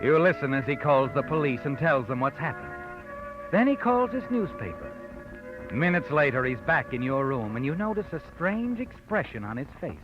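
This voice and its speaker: Sinister American storyteller, highish voice, knowing and taunting, old radio mystery, music underneath. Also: male voice, very animated, normal speed. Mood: sly, knowing. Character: sinister omniscient male narrator addressing the culprit directly. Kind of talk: 1940s radio mystery narration. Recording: dull old radio recording, music behind.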